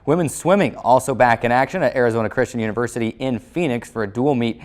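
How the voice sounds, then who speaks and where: A man's voice: medium pitch, fast talker. A man with an American accent presenting a sports show in a scripted announcer style, as its host. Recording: music playing under the voice. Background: background music.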